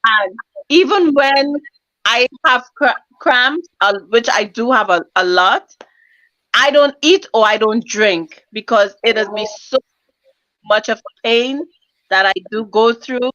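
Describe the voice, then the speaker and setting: A woman joins a live stream as a guest, halting and searching for her words. Her voice is bright and a little high. The sound is dry and muffled, like a dull line. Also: dry recording. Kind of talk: casual live-stream chat.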